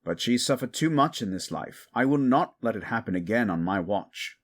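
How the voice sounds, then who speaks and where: A man playing a protective exiled prince standing in as king, firm, formal and weary, in a fantasy audio drama. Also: medium pitch. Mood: stern, protective. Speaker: voice actor.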